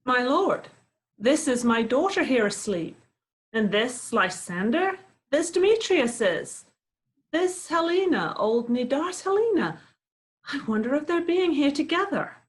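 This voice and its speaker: Woman as a stern old father, high and animated. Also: a little slow. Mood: puzzled. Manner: insistent, formal. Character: stern, aggrieved old father demanding the law. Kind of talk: Shakespeare table read. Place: video call.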